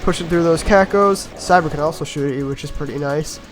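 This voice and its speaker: A man with a somewhat high voice, a little raspy from a cold, talks through his gameplay in a loose, rambling way. He pokes fun at his own blunders as he goes, and faint game music plays behind him. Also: fairly quick. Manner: easygoing, rambling, self-mocking with chuckles. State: slightly raspy from being sick. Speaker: gameplay commentator. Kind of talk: casual voice-over commentary on a game run.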